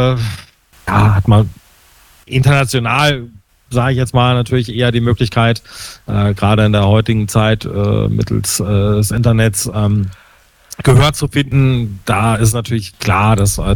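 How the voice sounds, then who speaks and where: Interview guest, man in his fifties from Hessen, lowish voice, reflective and halting, on a remote line. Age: 50s. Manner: hesitant, many fillers, reflective. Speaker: musician guest. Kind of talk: casual interview answers.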